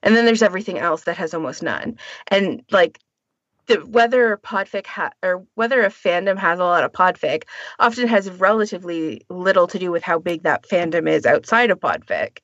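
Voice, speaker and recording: A lo-fi, slightly muffled recording with almost no room sound carries this woman's voice. She speaks a little low as she co-hosts a podcast in a casual, conversational way. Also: lively intonation, normal speed, a bit bright, no room sound. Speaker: podcast co-host. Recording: dull, lo-fi audio.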